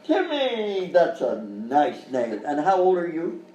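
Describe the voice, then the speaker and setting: Man acting a flustered high-pitched kids' show host. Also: huge pitch swings, slow pace, dark tone, exaggerated voice. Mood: cheery patter. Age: middle-aged or older. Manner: stammering, hemming and hawing, exaggerated. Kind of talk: live radio sketch comedy acting. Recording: roomy live stage, distant mic, faint noise.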